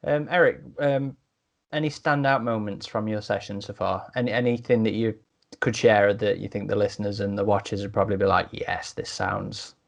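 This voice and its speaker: A man's voice: fairly low pitch, fairly even intonation, normal speed, gravelly. A man hosting a podcast in casual conversation. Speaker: podcast host. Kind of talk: casual chat.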